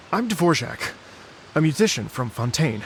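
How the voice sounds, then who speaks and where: A man's voice: a little high, a bit bright, slight background noise, heavily acted. An actor playing a dreamy, polite and apologetic male travelling musician in a video game.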